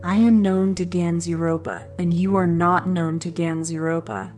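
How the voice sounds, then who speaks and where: A woman voicing an angry foreign male crocodile showman who yells in broken speech. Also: lowish voice, steady tone, normal speed, faint music behind, natural voice. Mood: furious.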